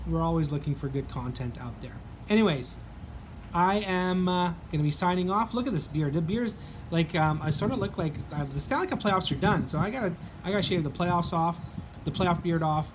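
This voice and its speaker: A man's voice: fairly high pitch, normal speed, slight background noise. A man with a Canadian accent hosting a casual sports vlog, chatting in a rambling way with many ums and restarts.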